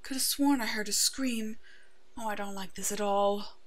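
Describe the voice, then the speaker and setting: A woman voicing a brave, protective werewolf in an ASMR roleplay, soothing and halting, with a soft, hushed delivery. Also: mid-range voice, steady tone, a little hiss or hum, natural voice. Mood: anxious.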